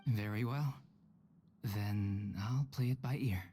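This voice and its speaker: A man playing a calm, modest, courteous painter in a video game, with a polite, reserved manner. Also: on the low side, slow, faint music behind.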